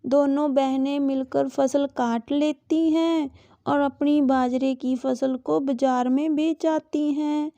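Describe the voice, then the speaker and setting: High, flat-toned woman storyteller. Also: vocal fry, no room sound. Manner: even, measured storytelling. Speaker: narrator. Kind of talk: scripted children's story narration.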